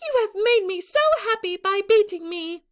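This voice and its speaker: A woman's voice: very high voice, moderate pace, pitched above the performer's own voice. A woman voicing a proud, fearless female Scissors warrior in a storybook, making grand, declamatory challenges. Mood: exultant.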